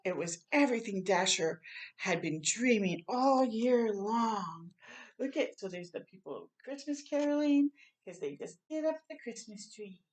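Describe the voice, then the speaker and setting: A woman reading a children's story aloud expressively, with animated asides and questions to young listeners. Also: fairly high pitch, measured pace.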